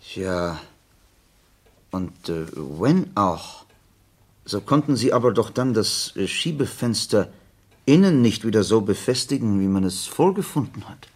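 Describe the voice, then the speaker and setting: Attentive companion to a radio-play sleuth. Fairly low, rough-edged, measured, questioning, slightly hesitant. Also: male voice, big pitch swings, a little mellow, heavily acted. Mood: thoughtful, puzzled. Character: attentive, thoughtful male companion of a detective.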